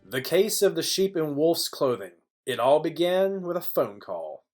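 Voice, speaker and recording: A man playing a wry, world-weary private detective, narrating a film noir parody in a deadpan manner. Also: fairly high pitch, a little slow, over loud music.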